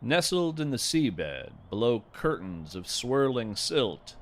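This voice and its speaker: A man playing a gentle, folksy naturalist who hosts a scripted field podcast with earnest, lyrical wonder. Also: medium pitch, big pitch swings. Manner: deliberate, lyrical, earnest delivery. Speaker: podcast host and narrator. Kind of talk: scripted narration with poetry reading.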